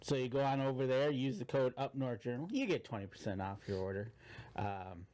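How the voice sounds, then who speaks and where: Man, mid-pitched voice, easygoing co-host, casual chat. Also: a little fast, a little mellow. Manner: easygoing, reads sponsor codes. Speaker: podcast co-host. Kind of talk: casual live podcast chat. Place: cabin.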